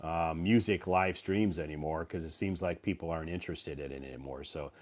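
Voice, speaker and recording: A man's voice: low voice, brisk, a little mellow. A man hosting a casual live stream, recovering from a cold and rambling with many fillers and restarts. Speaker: live stream host and English teacher.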